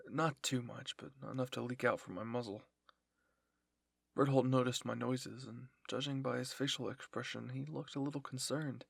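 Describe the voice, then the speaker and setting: Guy reading anime fanfic, husky and creaky, close dry recording. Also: medium pitch, no room sound. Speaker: narrator. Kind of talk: dramatic fan-fiction audio reading.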